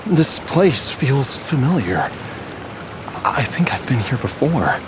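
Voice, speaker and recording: Troubled game youth, slow, hesitant, lively pitch. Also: male voice, background noise. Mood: uneasy. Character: troubled young man, uneasy and haunted. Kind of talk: video game voice acting.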